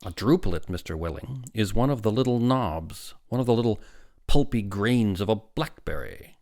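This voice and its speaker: Man putting on a booming, hearty, pompous big doctor. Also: sing-song, normal speed, darkish tone. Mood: severe, lecturing. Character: huge, booming, hearty, self-important male doctor. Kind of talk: storybook character in a read-aloud.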